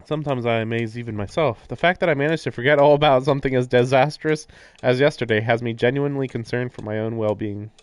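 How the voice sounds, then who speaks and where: A man's voice: mid-range voice, quick pace, smooth, dry recording. A man reading a visual novel's narration aloud on a stream, breaking into casual commentary.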